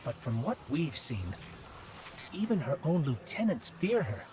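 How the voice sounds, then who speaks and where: An actor playing an earnest, watchful companion guide in a video game, articulate, conversational and concerned. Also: male voice, on the high side, big pitch swings, unhurried. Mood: worried, reflective. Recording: clear ambient noise behind. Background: ambient noise.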